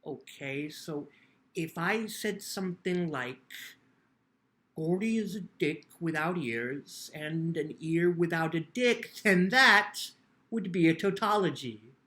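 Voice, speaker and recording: A man's voice: on the high side, animated, slow pace, pushed and bright, full character voice. A man playing an earnest, lonely teenage boy who tells his own story in plainspoken, cheeky words. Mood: curious, cheeky. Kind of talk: first-person dramatic book reading.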